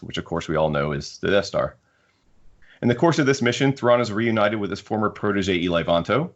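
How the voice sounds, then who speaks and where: Male podcast host, deep voice, casual and halting delivery, slightly muffled lo-fi sound. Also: a bit bright. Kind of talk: casual discussion of sci-fi books.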